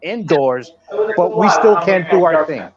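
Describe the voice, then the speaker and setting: A man's voice: on the high side, animated, unhurried, over a noisy crowd. A man chatting casually as a panelist on a show, recorded with dull, lo-fi microphone sound. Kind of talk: casual chat.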